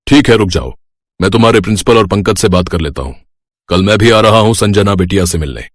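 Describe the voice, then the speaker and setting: A man's voice: lowish voice, brisk, no echo, studio quality, close to an everyday voice. A man playing a rich, protective middle-aged father in a moral story, firm, caring and authoritative. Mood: decisive. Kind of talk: moral story character acting.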